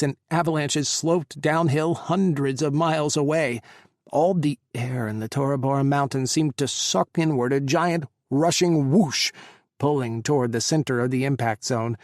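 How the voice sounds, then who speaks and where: A man narrating an audiobook in a steady, measured storytelling voice on a close studio mic. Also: mid-range voice, gravelly, no echo.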